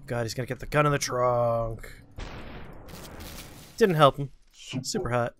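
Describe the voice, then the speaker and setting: A man with a bright, forward voice commentates casually over a video game. His delivery is wry and playful, at an ordinary pitch for a man. There is some faint noise behind him. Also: steady tone, unhurried. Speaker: gaming show host.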